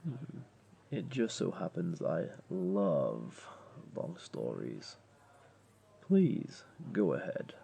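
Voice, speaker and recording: A man playing a flirty, comforting jaguar beastling in an intimate audio roleplay, gently coaxing the listener along. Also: low voice, wildly swooping pitch, very slow, gravelly, breathy edge, heavily acted. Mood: inviting, flirty. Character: flirty, comforting male jaguar beastling, patient restaurant owner.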